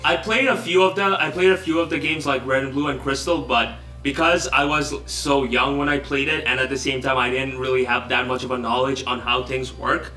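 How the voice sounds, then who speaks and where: Man from Bangladesh, young, joking, bright and sharp, echoey and off-mic. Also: mid-range voice, a little fast, few pauses, faint music behind. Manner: joking, animated, self-deprecating. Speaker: interview guest, YouTuber. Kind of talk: casual online interview. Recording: roomy echo, distant from mic.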